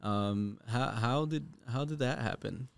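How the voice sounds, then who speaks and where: Halting man hosting a chat. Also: medium pitch, vocal fry, no room sound. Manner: halting, many restarts. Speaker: podcast host. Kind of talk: casual interview chat.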